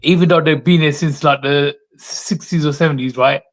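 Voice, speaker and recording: A man from the UK hosts an interview over a video call, with a voice a little higher than usual for a man. He asks curious questions and keeps the talk going with quick affirmations. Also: steady tone. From United Kingdom. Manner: inquisitive, short affirmations. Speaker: host. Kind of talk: casual interview chat. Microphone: remote video call.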